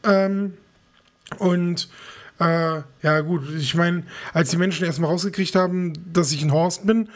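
Guy with a high voice rambling crude jokes on a podcast, hoarse, stuffy nose. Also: fairly even intonation, measured pace. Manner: joking, rambling, crude humor. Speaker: podcast co-host. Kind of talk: casual chat.

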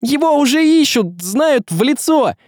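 A man voicing a frantic, outspoken young man in a storybook, shouting in blunt, slangy speech. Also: very high-pitched, lively intonation, measured pace, dry recording, studio quality, pitched above the performer's own voice. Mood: frantic, indignant.

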